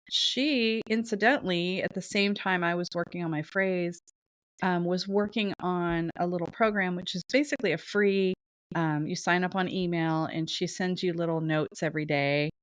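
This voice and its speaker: A woman's voice: on the low side, fairly even intonation, normal speed, no room sound. A woman co-hosting a podcast in casual chat, her voice stuffy and nasal from a sinus cold, talking in a chatty, rambling way with frequent laughs. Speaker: podcast co-host.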